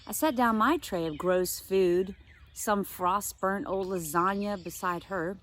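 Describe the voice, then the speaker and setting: A woman teacher reads a children's novel aloud in an expressive, storytelling manner. Her voice sits at an ordinary middle pitch, and she breaks off now and then for easy asides to her listeners. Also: measured pace, slight background noise.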